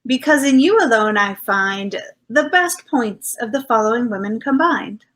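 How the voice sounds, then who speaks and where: Woman acting playful high-voiced 1940s radio wife. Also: lively intonation, moderate pace, fairly bright tone. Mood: teasing, romantic. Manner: playful, theatrical. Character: Nora Charles, beautiful, teasing, affectionate wife of a detective.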